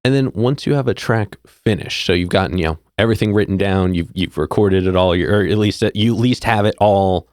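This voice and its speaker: A man's voice: low voice, fairly quick, hardly any pauses, a little mellow, no room sound, clean studio sound. A man hosting an interview, asking conversational questions. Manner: conversational, with short back-channel murmurs. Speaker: interviewer host.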